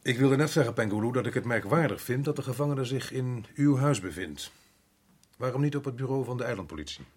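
Exasperated, husky colonial official. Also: male voice, medium pitch, animated, a little fast, darkish tone. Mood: formal, probing. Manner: exasperated, sarcastic, defensive. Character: harried, indignant colonial island resident, a husband. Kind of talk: radio drama acting.

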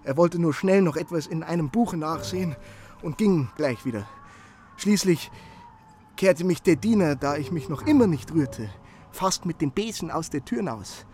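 A man's voice: on the high side, lively intonation, warm tone, a little hiss or hum, natural voice. A man playing a breathless eager young messenger. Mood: anxious. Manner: stammering, earnest, hurried report. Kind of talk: radio play acting.

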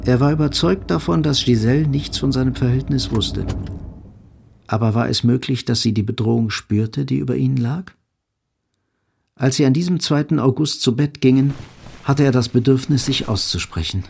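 An actor playing a sober literary narrator in a radio drama, reading scripted third-person prose with a creaky voice. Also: male voice, mid-range voice, moderate pace, a little mellow, a little hiss or hum, lightly acted. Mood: sober, reflective.

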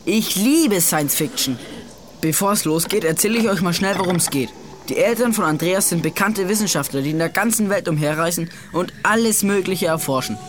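A woman's voice: fairly low pitch, a little fast, noisy background. A woman hosting a children's film segment, narrating in a scripted but chatty, slangy and exclamatory way.

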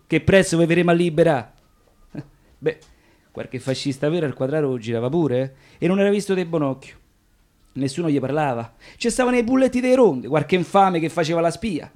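A male actor as a working-class Roman storyteller in a radio play. His voice sits a little high. He speaks in colloquial dialect, wry and proud, with theatrical emphasis. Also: a little mellow, lightly acted. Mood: wry, confiding.

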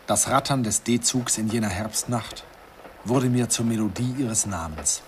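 Brief: male actor as a low, expressive, wistful narrator, hissy old tape. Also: measured pace, close to an everyday voice. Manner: wistful storytelling, chuckling. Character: older man fondly recalling youthful romance. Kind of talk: 1950s radio play narration. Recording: hissy old radio recording.

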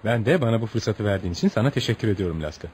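An actor in a Turkish radio play as a courteous, patient American newspaper journalist, speaking in a reassuring manner. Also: male voice, fairly low pitch, animated, racing, a little mellow, natural voice. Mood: courteous, businesslike. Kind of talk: Turkish radio theatre acting. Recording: noisy radio play recording.

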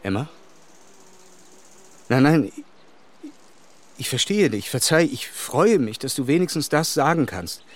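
An actor playing a reserved, upright and tender gentleman in a radio drama, speaking earnestly and haltingly. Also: on the high side, very animated. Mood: indignant, relieved. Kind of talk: German radio drama acting of a classic novel.

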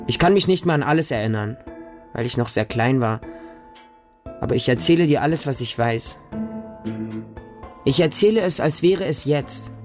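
An actor playing a wounded, innocent boy who tells of his childhood in a radio drama, in simple, deliberate, repeated phrases. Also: mid-range voice, close to an everyday voice. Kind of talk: radio drama monologue, storytelling.

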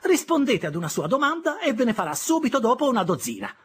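A man voicing a harsh, sharp-tongued older sister who scolds a boy, in a shrill, exasperated way. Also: sing-song, fast talker, slight background noise, full character voice. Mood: scolding.

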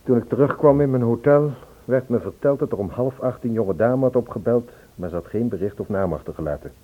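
A man's voice: mid-range voice, fairly quick, lightly acted. An actor playing an earnest, lovesick man searching for a woman in an old Dutch radio play. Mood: wistful narration. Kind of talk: Dutch radio play, acting and first-person narration. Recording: tinny phone-like old radio recording.

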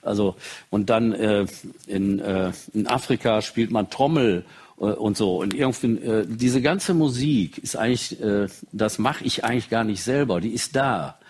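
A man with a husky voice speaks off the cuff in an interview, halting and searching for words, in blunt terms. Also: fairly low pitch, a little mellow. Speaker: interviewed musician. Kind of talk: spontaneous interview talk.

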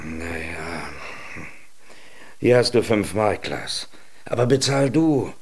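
An actor playing a shrewd, stingy farmer with a coaxing manner in a children's radio play. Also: male voice, on the low side, animated, slow pace, very noisy. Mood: cautioning, sly. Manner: calculating, coaxing. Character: shrewd, stingy farmer, falsely kindly husband.

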